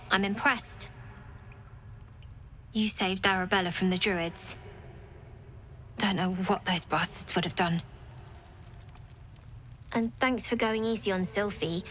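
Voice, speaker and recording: A woman's voice: mid-range voice, fairly bright tone, slight background noise, lightly acted. A woman voicing a brash, businesslike young girl who leads child thieves in a video game. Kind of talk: video game dialogue acting.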